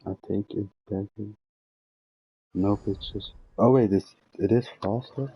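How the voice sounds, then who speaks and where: A man's voice: on the low side, slow, husky, lo-fi sound. A man giving casual, informal gameplay commentary as a streamer, thinking aloud as he plays. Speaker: video game streamer. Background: game music.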